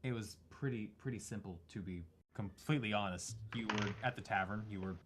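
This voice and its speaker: A man acting as game master, improvising narration in a casual, halting way full of filler phrases and swearing. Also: lowish voice.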